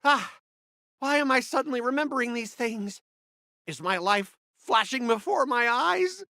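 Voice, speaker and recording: A bright, dramatic young schemer. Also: male voice, extremely high pitch, lively intonation, a little slow, creaky, no echo, clean studio sound, exaggerated voice. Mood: baffled, panicked. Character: self-absorbed, coolly ruthless young man, the heir. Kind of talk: video game voice acting.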